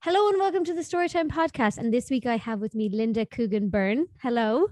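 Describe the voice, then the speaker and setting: Higher-voiced woman hosting a casual podcast. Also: brisk, a little mellow, dry recording. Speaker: podcast host.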